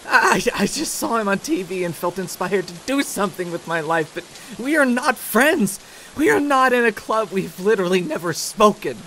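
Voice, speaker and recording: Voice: medium pitch, expressive, moderate pace, fairly bright tone, low-quality recording. An actor playing a terrified amateur superhero held captive, pleading emphatically in a comic dub. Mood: frightened, pleading. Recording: faint rain noise behind.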